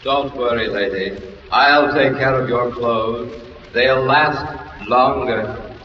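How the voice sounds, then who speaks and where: A man's voice: medium pitch, steady tone, takes it slowly, slight background noise, close to an everyday voice. An actor voicing a cocky, wisecracking talking soap box, a slangy tough guy in a 1940s radio commercial. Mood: swaggering. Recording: dull, echoey, distant old radio recording.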